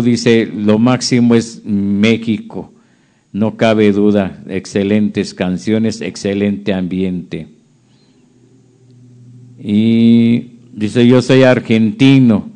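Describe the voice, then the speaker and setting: A man's voice: on the low side, mellow. A man hosting a live stream, chatting casually as he reads out viewer comments and reacts to them. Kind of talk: casual chat.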